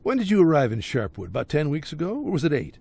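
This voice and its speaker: A talkative, menacing small-town crime boss in a video game. His voice sits fairly high and swings widely in pitch. He rambles theatrically, with jovial, folksy sarcasm. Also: wildly swooping pitch, fast, creaky, a little mellow, husky, dry recording, heavily acted. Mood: musing, sardonic. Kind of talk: video game cutscene acting.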